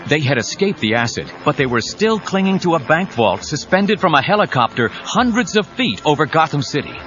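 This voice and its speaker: A man's voice: a little high, very animated, fairly quick, a bit bright. A man narrating an action audio drama with urgent, suspenseful scripted delivery. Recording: dull cassette audio with dramatic music.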